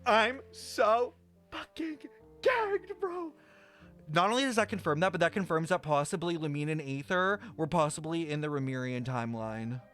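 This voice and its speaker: A man's voice: on the high side, moderate pace, a bit bright, faint music behind. A man livestreaming his reaction to a game, dramatic and gushing, with heavy slang, swearing and repeated exclamations.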